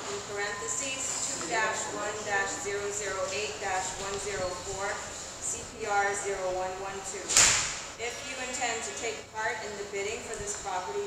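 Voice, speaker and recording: A young woman reads out auction terms in a formal, measured voice, standing in an open covered plaza. She is caught by a camera some way off, so her voice rings with strong echo and a long tail. Steady noise sits under her words. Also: mid-range voice. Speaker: foreclosure auction commissioner. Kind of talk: reading legal auction terms aloud. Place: outdoor covered plaza of a building. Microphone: far camera on a tripod. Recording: echoey distant outdoor camera audio.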